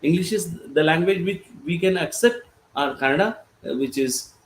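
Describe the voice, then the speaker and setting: A man from India with an Indian accent, whose first language is Gorboli, speaking as a panelist in a halting way with many fillers and restarts. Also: fairly high pitch, reverberant, slight background noise, poor audio. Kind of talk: panel discussion talk.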